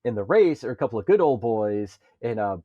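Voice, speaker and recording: A man on a podcast panel explaining things in casual chat, in a halting way. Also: mid-range voice, big pitch swings, dry recording, phone-quality sound.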